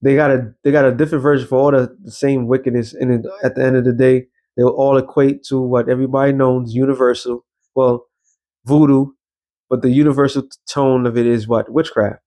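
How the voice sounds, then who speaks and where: A man's voice: medium pitch, little pitch movement, brisk, dark tone. A man preaching in a blunt, slangy street style, swearing freely, reading scripture and breaking it down with rhetorical questions. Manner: rhetorical questions and repeated check-ins like a teacher. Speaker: preacher and show host. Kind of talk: informal street sermon with scripture reading and commentary.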